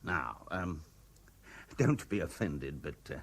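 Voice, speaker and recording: An actor playing an anxious, well-to-do husband in a radio drama, speaking politely and insistently with a British accent. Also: male voice, extremely low pitch, very animated, slow pace, slightly rough, exaggerated voice. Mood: courteous, businesslike. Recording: 1970s radio drama broadcast.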